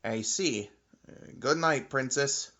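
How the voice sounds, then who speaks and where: A woman's voice: very deep voice, takes it slowly, muffled recording, full character voice. A woman playing a reluctant, sheepish tentacled monster minion in an anime parody audio drama. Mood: sheepish, hesitant. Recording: loud music behind.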